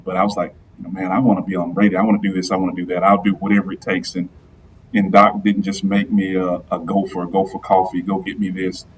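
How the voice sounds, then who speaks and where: Deep baritone man on a call. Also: distant mic, slight background noise, poor audio. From Georgia, US. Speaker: guest, live streamer and football PA announcer. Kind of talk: casual interview chat.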